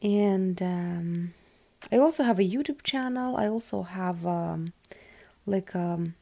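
A woman's voice: on the low side, slow pace, creaky, mellow, no room sound. A woman, her language, hosting a casual solo podcast in a chatty, rambling way full of fillers. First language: Russian. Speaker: podcast host.

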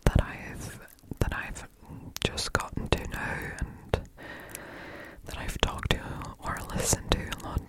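A German native speaker whispers in English with an American-leaning accent, every word soft and unvoiced, in a slow, unhurried ASMR style. The talk rambles gently, with pauses and little fillers, over a faintly scratchy throat. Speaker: ASMR creator.

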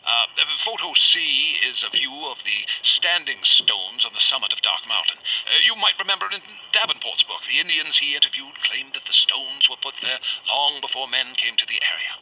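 A man's voice: medium pitch, lively intonation, moderate pace, hardly any pauses, bright, forceful tone. An actor playing an earnest, uneasy country man who rambles hesitantly as he records a spoken letter for a radio drama. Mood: earnest, intrigued. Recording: faintly noisy, old wax cylinder recording.

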